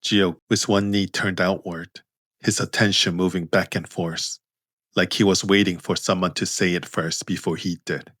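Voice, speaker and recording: A man narrating scripted fiction in measured, deliberate phrases. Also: low-pitched, flat delivery, fairly bright tone, no echo, clean studio sound. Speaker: narrator, author reading own story.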